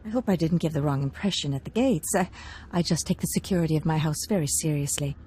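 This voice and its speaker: Casting: actress as genteel game noblewoman, polite and demure, deep female voice, soft dark tone. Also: fast, slight background noise, natural voice. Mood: polite, coy. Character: refined, demure female baroness, lady of the house. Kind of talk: video game voice acting.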